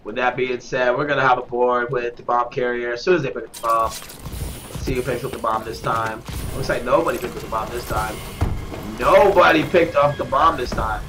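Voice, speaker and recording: A man with a stuffed-up nose, commentating live at a gaming tournament, getting excitable on big kills. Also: mid-range voice. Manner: excitable, shout-outs to viewers, bursts of hype on kills. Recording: noisy with game gunfire behind.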